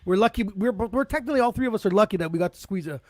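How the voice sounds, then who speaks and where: Male podcast host, high-pitched and rapid, loose and profane. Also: expressive, darkish tone, no room sound. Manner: fast, rambling, swears freely. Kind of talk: casual interview chat. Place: podcast studio.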